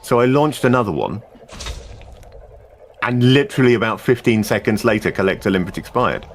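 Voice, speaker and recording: Man, co-player, dry grumbling, lowish voice, faint noise. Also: lively intonation, normal speed. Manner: dry, grumbling, self-deprecating. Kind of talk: casual gaming chat.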